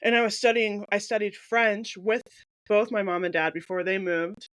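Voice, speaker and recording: A woman telling casual personal stories in an animated way, acting out her own reactions as she goes. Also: medium pitch, moderate pace, no echo. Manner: animated, acts out reactions as quoted speech. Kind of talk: casual conversational storytelling.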